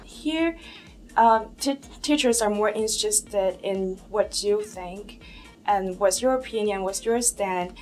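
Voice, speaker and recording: A young woman from southern China, a native Cantonese speaker, talking in a documentary interview as a first-year student, animated and tentative with fillers. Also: medium pitch, takes it slowly, faint music behind. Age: young adult.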